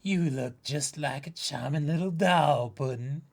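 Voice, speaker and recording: Pirate matriarch, played by a man, gravelly, boastful, greedy and menacing, for a comic read-aloud. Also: on the high side, measured pace. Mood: smug menace. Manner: boastful, domineering. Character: gluttonous, gleeful, menacing giant pirate queen mother. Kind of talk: dramatic comic-book reading among friends.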